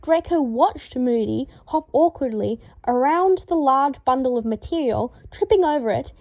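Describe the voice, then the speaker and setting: High-voiced woman narrating a story. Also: expressive, normal speed, dull audio. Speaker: fan fiction narrator. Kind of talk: expressive scripted story narration.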